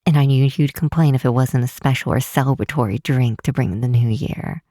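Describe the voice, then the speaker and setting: A woman playing a caring, teasing young best friend in an ASMR roleplay, speaking gently and hesitantly with soft pauses. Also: very deep voice, dry recording, clean studio sound. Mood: playful, fond.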